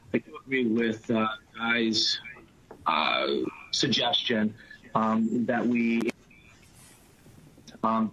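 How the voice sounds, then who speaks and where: A man gives calm, methodical comments in a formal meeting over a video call that cuts out at times. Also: on the low side, poor audio. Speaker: board member in a meeting. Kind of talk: formal remote meeting discussion. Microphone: video call, cutting out.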